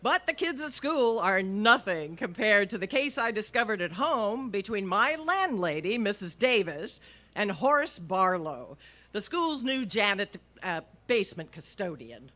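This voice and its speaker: A woman's voice: mid-range voice, sing-song, measured pace, sharp tone. A woman playing a wry English teacher with dry, sardonic quips in a radio comedy. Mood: wry narrating. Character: wry, wisecracking female high school English teacher. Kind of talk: old-time radio comedy acting.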